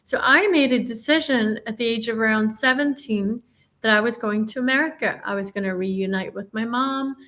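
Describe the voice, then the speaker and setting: A woman's voice: a little high, normal speed, fairly bright tone. A woman from Ireland, telling her life story in an earnest, reflective way as an interview guest. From Northern Ireland.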